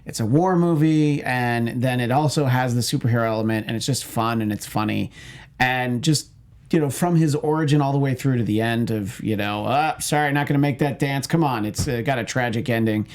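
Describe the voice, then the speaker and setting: A man with a creaky, gravelly edge to his voice, chatting casually as a panelist on a movie talk show. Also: medium pitch, a little fast.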